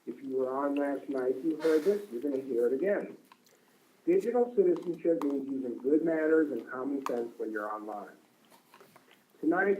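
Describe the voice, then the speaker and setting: A man's voice: a little high, dark tone, low-quality recording. A man hosting a live online story broadcast for children, addressing the young listeners directly.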